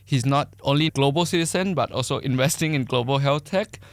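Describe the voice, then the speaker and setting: A man's voice: mid-range voice, fairly quick, no echo. A man hosting a podcast, introducing and interviewing a guest in a conversational style. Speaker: podcast host.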